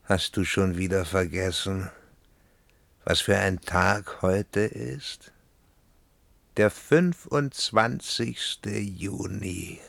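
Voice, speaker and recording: A arrogant ghost of a cavalry officer speaks at a slow, deliberate pace with a creaky edge. He is scornful and self-righteous, recorded dry and close for a radio play. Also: low-pitched, steady tone, fairly bright tone, no echo, full character voice. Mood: imperious. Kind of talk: radio drama acting.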